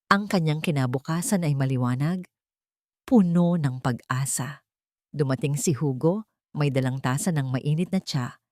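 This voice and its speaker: A woman storyteller reading a scripted romantic drama in a sentimental, measured way. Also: deep voice, darkish tone, dry recording, clean studio sound.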